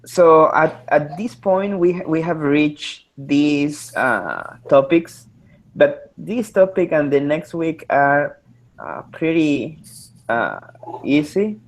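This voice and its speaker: Slow, hesitant man. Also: a little high, big pitch swings, gravelly, poor audio. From Mexico. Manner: hesitant, many filler sounds. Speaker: student presenter. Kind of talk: online study group presentation. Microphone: video call.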